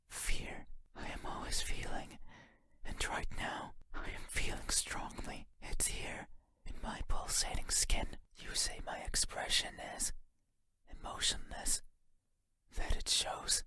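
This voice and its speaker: Slow, whispering eerie creature. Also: male voice, pushed and bright. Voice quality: breathy, unvoiced whisper. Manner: slow, deliberate, halting phrases, intense. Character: eerie, obsessive night creature, wounded and menacing. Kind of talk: horror game monologue.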